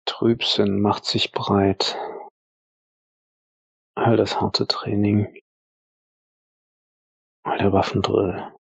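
Male storyteller for audio drama: grave, low, monotone delivery, husky texture, deliberate fragmented phrasing. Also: dry recording, dull audio. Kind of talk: slow dramatic narration in fragments.

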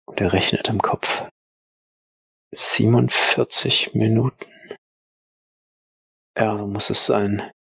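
A man narrating an audio role-play in the third person, halting, deliberate and sombre. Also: on the low side, vocal fry, a bit bright, husky, dry recording, lo-fi sound.

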